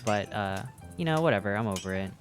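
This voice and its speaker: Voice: deep voice, fast. Profane, slangy streamer in their thirties over music. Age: 30s. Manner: profane, slangy, self-deprecating, animated when riffing. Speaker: video game livestreamer. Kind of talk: casual livestream chat over gameplay, reading and answering viewer comments. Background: game music.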